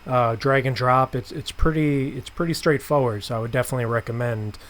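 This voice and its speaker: Mid-pitched man hosting a casual interview. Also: a little fast, fairly bright tone. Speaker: podcast host, interviewer.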